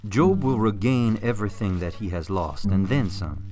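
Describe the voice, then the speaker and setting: Man playing a low-voiced, earnest adventurer. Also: animated, normal speed, mellow, lightly acted. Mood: earnest, reassuring. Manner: earnest, formal, explanatory. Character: Jessup, earnest, faithful, level-headed male time-traveling adventurer. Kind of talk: radio drama acting.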